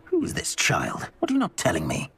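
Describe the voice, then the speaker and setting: A man playing a gruff, suspicious criminal boss with a raspy voice in a video game. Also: deep voice, wildly swooping pitch, moderate pace, fairly bright tone, dry recording, exaggerated voice. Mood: suspicious, angry. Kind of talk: dramatic video game acting.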